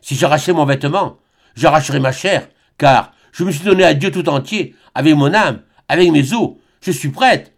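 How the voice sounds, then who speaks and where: A man reading aloud, voicing a young priest who speaks gravely and with anguished resolve. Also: a little high, normal speed, voice pushed up. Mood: fervent. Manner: solemn, quiet, grave.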